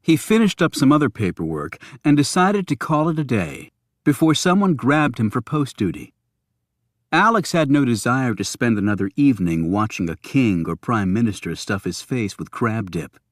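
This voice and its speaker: A man's voice: medium pitch, very animated, normal speed, no room sound. Man narrating an audiobook, very dry sound. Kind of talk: scripted thriller audiobook narration.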